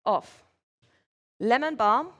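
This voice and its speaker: A woman from Finland, giving a measured competition presentation as a barista at a live event. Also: a little high, lively intonation, a bit bright. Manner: measured, clear presenting while working.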